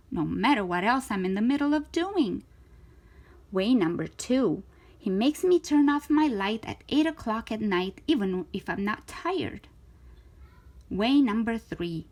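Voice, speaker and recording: A woman reads a picture book aloud for children. Her voice sits on the high side and moves up and down with lots of expression. She is theatrical in delivery, leaning on key words and drawing them out. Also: darkish tone. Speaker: storytime reader of children's books.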